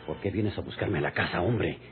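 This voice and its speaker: An actor playing a stern, impatient landowner's son in a radio drama, speaking in a curt, scolding way. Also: male voice, low voice, brisk, faint music behind, natural voice. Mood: irritated. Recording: muffled, noisy old radio recording.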